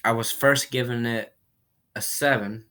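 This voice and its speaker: A man giving a casual spoken movie review while recovering from a cold, rambling and often clearing his throat. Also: mid-range voice, fairly even intonation, a little slow, bright, forceful tone. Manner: rambling, repeats himself, frequent throat clearing.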